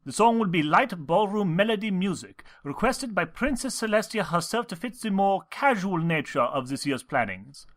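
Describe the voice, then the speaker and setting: A man's voice: sits high, expressive, normal speed, hardly any pauses, fairly bright tone. A man voicing an exacting pony conductor who speaks formally and with authority in a story reading. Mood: businesslike. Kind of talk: audiobook character acting.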